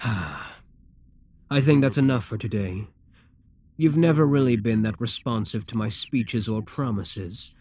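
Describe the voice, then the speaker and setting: A man's voice: mid-range voice, a little mellow. A man playing a proud, seductive demon king in an ASMR roleplay, speaking in an imperious, courtly and theatrical manner.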